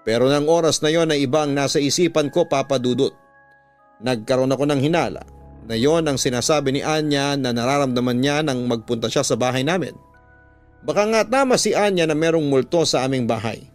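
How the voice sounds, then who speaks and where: A Filipino man tells a scripted story in the first person, as on a radio drama program. His pitch sits in the middle for a man and his delivery is measured and dramatic. Music plays softly behind him. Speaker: radio drama host narrating listener letters. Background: background music.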